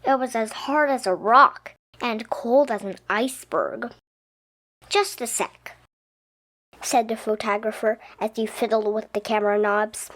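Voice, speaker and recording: Slow, higher-voiced woman reading a storybook. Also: a bit bright. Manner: dramatic, with emphatic pauses. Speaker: picture book reader. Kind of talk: expressive children's storybook narration. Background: music.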